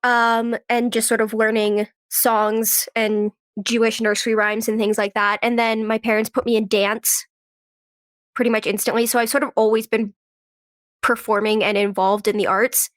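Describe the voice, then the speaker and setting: A woman's voice: on the high side, little pitch movement, normal speed, dry recording, studio quality. A woman guest on an interview, telling personal stories in a chatty, informal way and voicing little quoted remarks. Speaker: podcast guest, actress and writer. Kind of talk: casual chat, personal storytelling.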